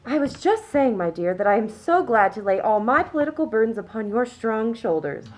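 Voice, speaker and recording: A woman's voice: on the high side, big pitch swings, a little fast, darkish tone. Woman acting a prim, smug society mother. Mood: pleasant, self-satisfied. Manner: prim, condescending, self-satisfied. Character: smug, genteel upper-class anti-suffragist mother, middle-aged. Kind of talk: scripted radio play acting.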